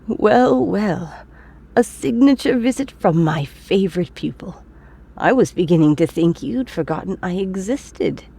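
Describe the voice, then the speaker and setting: A woman's voice: medium pitch, animated, a little slow, vocal fry, warm tone, breathy edge, full character voice. A woman voicing an older, eccentric former science teacher in a comic dub, doting and teasing. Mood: delighted surprise.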